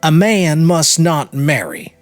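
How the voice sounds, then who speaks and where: A man's voice: a little high, expressive, slow pace, no room sound, soft background music, voice pushed up. A man voicing a proud, bitter Italian army major in an audiobook story, speaking formally and emphatically. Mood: angry, bitter. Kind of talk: audiobook character reading.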